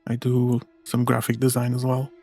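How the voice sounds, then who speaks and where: A man's voice: mid-range voice, completely flat delivery, normal speed, vocal fry, mellow, no echo, soft background music. A man from Portugal, casually answering his viewers as a streamer.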